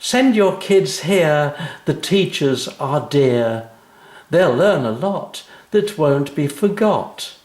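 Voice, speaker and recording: A man's voice: on the high side, expressive, slow pace, darkish tone, echoey room, voice pushed up. A man voicing a cheeky, eager little schoolgirl in a children's story, with sing-song, emphatic delivery. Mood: proud, reciting.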